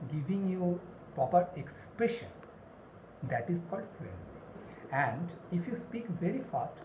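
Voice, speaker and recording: A woman gives a spoken English lesson in a low, very animated voice. She speaks deliberately and repeats key phrases to stress them. The recording is roomy and echoey. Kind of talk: instructional lesson with numbered points and examples. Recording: roomy echo, clear background noise.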